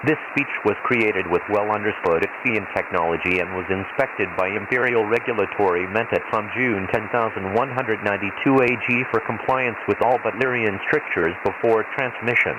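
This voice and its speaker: A man playing an impersonal imperial weather announcer on a desert planet, reading a formal, measured hazard bulletin through a radio filter. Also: fairly low pitch, lo-fi sound. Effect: radio transmission filter. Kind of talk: scripted emergency weather bulletin, read like automated weather radio. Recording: hissy, noisy shortwave-style radio transmission.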